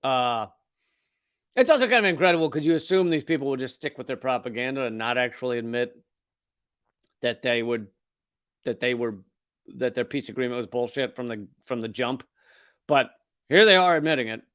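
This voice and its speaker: A man's voice: medium pitch, a little fast, pauses often, fairly bright tone, dry recording, clean studio sound. A man hosting a political comedy show, delivering sardonic, profane commentary with mocking put-on voices.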